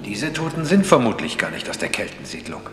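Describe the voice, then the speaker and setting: A keen, reasonable archaeologist in a radio mystery. He explains quickly in a low voice, with strong echo around it. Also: very animated. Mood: explaining. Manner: explanatory, brisk. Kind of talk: German radio crime drama acting. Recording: echoey, reverberant space.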